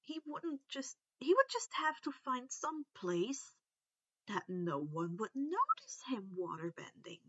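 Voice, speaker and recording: High-pitched woman reading a story, dull recording. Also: sing-song, takes it slowly, a bit bright, husky, no echo. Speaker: audiobook-style reader of fan fiction. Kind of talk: expressive scripted story narration.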